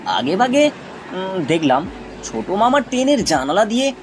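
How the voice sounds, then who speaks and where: A man narrating a spooky cartoon tale expressively, with hesitant pauses. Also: high voice, sing-song, slight background noise. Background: music.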